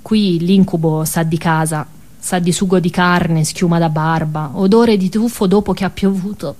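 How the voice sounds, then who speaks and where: A woman's voice: on the low side, steady tone, normal speed, darkish tone. A woman with a Tuscan accent performing a narrative stage monologue in a colloquial, ironic storytelling manner.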